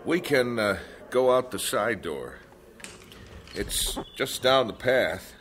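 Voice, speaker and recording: A rambling camp registrar, very lively pitch, hesitant. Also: male voice, takes it slowly, a little hiss or hum. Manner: hesitant, rambling, full of uh's.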